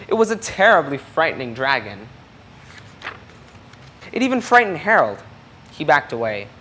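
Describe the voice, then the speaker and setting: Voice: mid-range voice, big pitch swings, normal speed, sharp tone, a little hiss or hum. A person reading a children's picture book aloud expressively, explaining things and putting questions to young listeners. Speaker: storybook reader.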